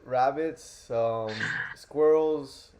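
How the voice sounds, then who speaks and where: A man's voice: medium pitch, extremely slow, a crowd in the background. A man hosting a trading livestream, joking with his co-hosts and talking to the chat in casual banter.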